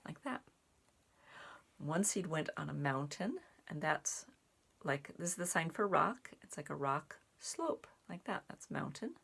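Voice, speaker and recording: A woman's voice: low-pitched, very animated, measured pace, vocal fry, fairly bright tone, slightly rough. A woman reading and explaining in simple language, as a storyteller and sign language teacher for young children. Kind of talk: picture-book read-aloud and casual sign language teaching.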